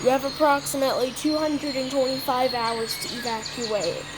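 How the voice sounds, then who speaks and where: High-voiced halting announcer, very noisy recording. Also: female voice, steady tone. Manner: halting, with stumbles and repeated words. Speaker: announcer of a fictional emergency alert. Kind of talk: improvised mock emergency broadcast announcement. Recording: noisy homemade recording, birdsong behind.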